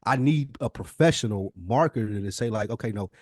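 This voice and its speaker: A man's voice: fairly low pitch, fairly quick, a little mellow, dry recording. Emphatic American man, dry close sound. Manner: emphatic, opinionated, talks in long explanations. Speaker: podcast co-host. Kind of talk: casual conversational discussion.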